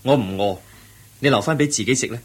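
Radio play: a kind, soft-spoken young holy man, played by a man, reassuring and courteous, mid-pitched. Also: fast talker, slightly rough, natural voice. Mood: gentle, kind. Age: young adult. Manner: gentle, polite, reassuring. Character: young wandering monk, kind and gentle. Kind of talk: radio drama acting.

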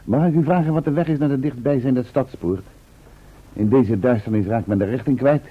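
An actor playing a sensible, cautious scientist's assistant in a radio drama, speaking in measured, formal sentences. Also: male voice, mid-range voice, husky, close to an everyday voice. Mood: polite. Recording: tinny, noisy old radio play tape.

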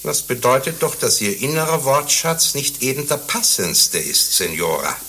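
A man's voice: medium pitch, animated, moderate pace, sharp tone, a little hiss or hum. A male actor playing a stern, pompous Inquisition inspector in a satirical radio play, with formal, indignant and ornate speech.

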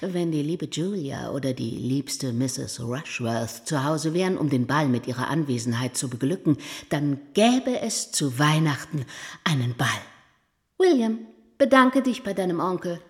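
An actress playing a bossy, fussing aunt in a German radio play, officious and effusive. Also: female voice, low-pitched, animated, a little slow. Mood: gushing, presumptuous. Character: bossy, fussing, flattering aunt of a genteel household.

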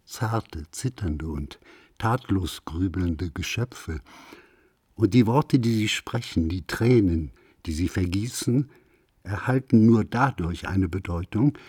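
Deep, slow-reading man with a husky voice. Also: darkish tone, no echo, studio quality. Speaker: reader of a literary essay. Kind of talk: scripted literary reading, solemn radio recitation.

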